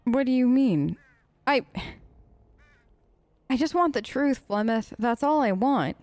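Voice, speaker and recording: A woman gamer hosting a role-play let's play, conversational, expressive and emphatic. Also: fairly high pitch, takes it slowly, no echo. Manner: expressive, emphatic, incredulous asides. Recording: close dry mic, faint game music. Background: faint game music.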